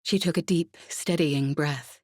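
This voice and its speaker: A woman reading a dramatic story as an audiobook narrator, expressively and with emotive pauses. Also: sits low, darkish tone, dry recording, studio quality. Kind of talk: dramatic scripted fiction narration.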